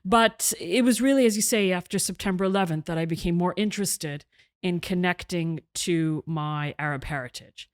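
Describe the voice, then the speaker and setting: A middle-aged woman speaking as an interview guest, her voice sitting a little low for a woman. She explains her points in an articulate, measured way, restarting a phrase now and then. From half Egyptian, half British; raised in Canada. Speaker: guest, journalist and author. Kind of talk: interview conversation.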